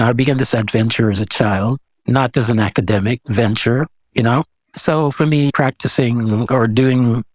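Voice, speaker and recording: A man's voice: mid-range voice, no room sound. An older man talks as an interview guest. His delivery is casual and reflective, like someone recounting his own life, with frequent conversational asides. The sound is dry, with little room in it. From Guyana. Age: 70s. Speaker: guest, visual artist.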